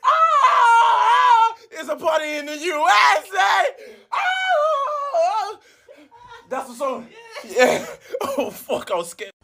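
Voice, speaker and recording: A young man in a casual reaction video, loud and excitable, shouting and laughing into his phone. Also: very high voice, takes it slowly, noisy background. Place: bedroom indoors.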